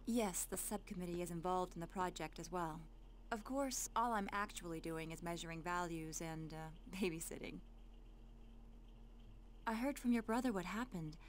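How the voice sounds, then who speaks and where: A woman's voice: lowish voice, moderate pace, a little hiss or hum, close to an everyday voice. An actress playing a friendly, self-assured adult woman scientist in a video game cutscene, conversational and familiar in manner. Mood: friendly, sympathetic.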